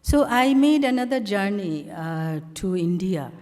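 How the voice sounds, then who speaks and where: A woman from Bangladesh with a Bangladeshi accent, an artist telling the story behind her slides in a halting, conversational way full of fillers. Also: mid-range voice, lively intonation, a little mellow, long reverb tail. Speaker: artist presenting her work on a panel. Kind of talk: conversational talk walking through slides.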